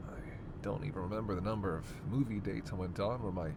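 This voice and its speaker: A man's voice: deep voice, fairly even intonation, fast, creaky. Man acting snarky vampire over road noise. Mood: playful menace. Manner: sarcastic, needling, theatrically exasperated, foul-mouthed. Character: snarky, teasing immortal male vampire passenger. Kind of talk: one-sided roleplay audio drama.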